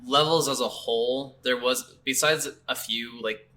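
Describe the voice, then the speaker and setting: Very bright, sharp-voiced casual man. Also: mid-range voice, fairly even intonation, measured pace. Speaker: podcast co-host. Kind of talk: casual video game review chat.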